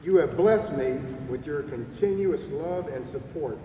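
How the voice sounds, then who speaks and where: A middle-aged man giving a heartfelt, halting retirement speech, clearing his throat as he loses his voice. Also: fairly high pitch, lively intonation, warm tone. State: losing his voice. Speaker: retiring army staff sergeant giving a speech. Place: ceremony venue. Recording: echoey distant hall recording with noise.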